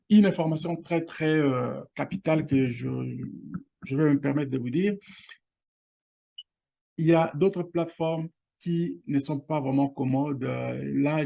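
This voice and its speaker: Gravelly, warm man with Lingala first language. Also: a little high. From Congo region, Lingala first language. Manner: halting, with many restarts and fillers; direct appeals to viewers. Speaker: online course channel host. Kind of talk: casual screen-share tutorial.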